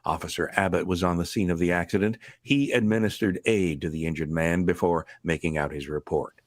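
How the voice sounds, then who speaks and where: Man acting deep male suspense narrator, matter-of-fact, formal report reading, vintage radio drama, dry sound. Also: steady tone, fairly quick, dry recording, lightly acted. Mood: grave, factual.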